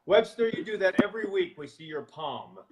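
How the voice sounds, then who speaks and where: A man's voice: animated, fairly quick, a crowd in the background, low-quality recording. A man hosting a live online health chat over a video call, rambling and restarting his sentences. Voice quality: booming voice. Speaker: host.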